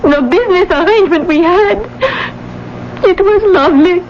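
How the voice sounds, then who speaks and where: High-voiced, anxious young woman in love. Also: moderate pace. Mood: grieving, earnest. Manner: earnest, emotional. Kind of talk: radio drama acting. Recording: dull, noisy old radio broadcast.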